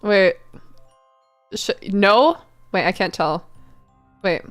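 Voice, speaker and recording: Female streamer, mid-range pitch, playful and informal, teasing asides, light music bed. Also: animated, slow. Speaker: streamer reading fan fiction aloud. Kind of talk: casual livestream chat.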